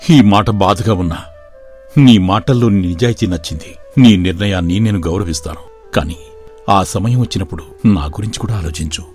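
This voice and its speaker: A man voicing an earnest young village man who sincerely declares his love, in an animated story. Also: sits low, normal speed, soft background music, close to an everyday voice.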